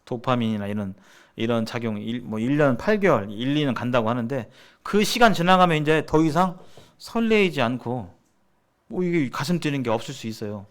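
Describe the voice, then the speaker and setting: A middle-aged man from South Korea teaching in a sermon-like seminar style, explaining haltingly and restating his phrases as he goes. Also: mid-range voice, lively intonation, clean tone. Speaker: church seminar preacher.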